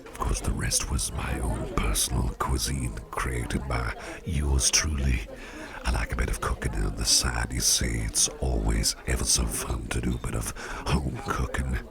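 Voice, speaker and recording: A jovial office boss at a party, played by a man in an ASMR roleplay, chummy and teasing. His voice is extremely low and heavily gravelly, drawn out slowly in soft half-whispers. A party crowd murmurs clearly behind him. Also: steady tone, exaggerated voice. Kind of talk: ASMR roleplay, personal attention.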